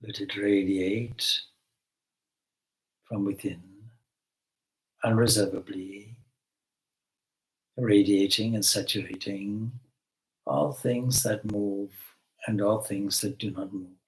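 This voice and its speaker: A man leading a guided meditation as a spiritual teacher, giving gentle instructions with long pauses between phrases. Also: fairly low pitch, steady tone, rough recording.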